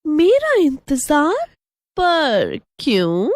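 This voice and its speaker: Woman as a high, sweet flower-seller girl. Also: wildly swooping pitch, extremely slow, darkish tone, no echo, studio quality, full character voice. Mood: feigned surprise. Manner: sweetly coaxing, playful. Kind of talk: dramatized horror storytelling.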